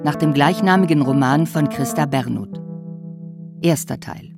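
Low-voiced female announcer over guitar music. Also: measured pace, vocal fry. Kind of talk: scripted title announcement. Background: plucked guitar music.

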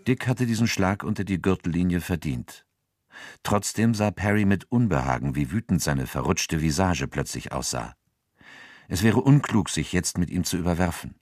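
An actor as the sober narrator of a crime radio drama, reading scripted literary prose. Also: male voice, low-pitched, lively intonation, moderate pace, a little mellow, no room sound, clean studio sound. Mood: sober, factual. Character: sober male omniscient narrator of a crime story.

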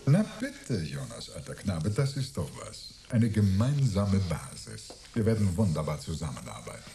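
An actor playing a cocky, jovial astronaut in a radio play, talking in a chummy, mocking, theatrical way. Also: male voice, deep voice, very animated, moderate pace, over music. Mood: wheedling, breezy. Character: cocky, jovial male astronaut, wheedling old war buddy.

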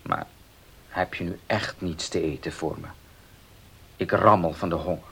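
An actor playing a headstrong farm owner and husband in a Dutch radio drama. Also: male voice, low voice, fairly even intonation, moderate pace, gravelly, bright, forceful tone, breathy edge, full character voice. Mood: defensive, placating.

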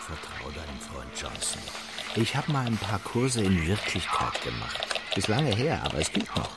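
An actor playing a flippant, sardonic designer in a naturalistic radio drama, teasing and slangy. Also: male voice, low-pitched, sing-song, normal speed, loud music behind the voice. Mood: reasoning, exasperated. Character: flippant, sardonic male designer, programmer's buddy.

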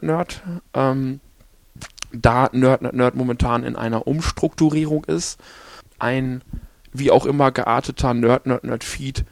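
A man hosting a podcast on his own, hoarse after an illness, rambling along with many fillers and restarts. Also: mid-range voice, no echo. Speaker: podcast host. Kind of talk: casual solo podcast talk.

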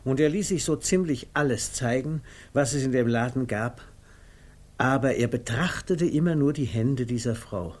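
A man narrating a literary 1950s radio play in a measured, deliberate, storytelling way. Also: medium pitch. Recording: faintly noisy vintage radio recording.

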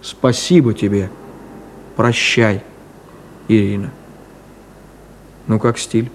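A man's voice: on the low side, steady tone, slow, a little hiss or hum. An actor playing a cynical, detached middle-aged man in a 1970s Soviet radio play, with an ironic, clipped theatrical delivery. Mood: mock-reading, measured.

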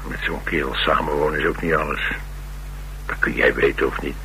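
An actor playing a cocky, wisecracking Dutch loner in a radio play, with flippant, colloquial banter. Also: male voice, rumbling low, steady tone, brisk, bright, forceful tone, a little hiss or hum, full character voice, poor audio. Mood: cocky, teasing. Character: cocky, restless, wisecracking male loner on the run. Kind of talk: naturalistic radio play dialogue.

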